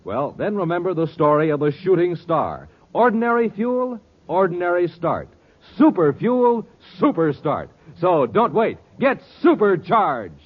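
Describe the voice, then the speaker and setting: A man's voice: high-pitched, very animated, a little slow, husky. A man announcing in emphatic, dramatic 1950s radio style, with punchy salesmanship, on a muffled old recording. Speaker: announcer, narrator and ad pitchman.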